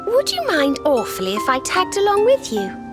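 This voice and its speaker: Serena, a soft high gentle healer. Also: female voice, huge pitch swings, normal speed, over music, full character voice. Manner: soft, courteous, slightly old-fashioned phrasing. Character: gentle young female healer, kind and polite. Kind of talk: video game cutscene dialogue. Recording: game score playing underneath.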